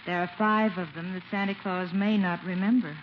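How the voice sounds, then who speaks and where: An actress playing a genial, teasing nurse being courted in a 1950s radio sitcom, gently chiding. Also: female voice, lowish voice, steady tone, normal speed, lightly acted. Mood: welcoming. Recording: muffled old radio broadcast with hiss.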